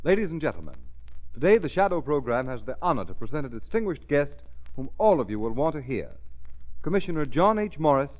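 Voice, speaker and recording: Brisk 1930s radio announcer man. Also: a little high, very animated. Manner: punchy, declamatory ad delivery. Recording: tinny old radio recording with music.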